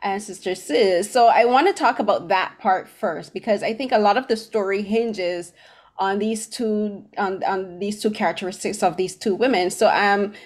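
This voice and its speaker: A woman's voice: medium pitch, moderate pace, darkish tone. A middle-aged woman hosting a film interview in a conversational style over a video call. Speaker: interview host. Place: small room at home. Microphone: computer mic on a video call.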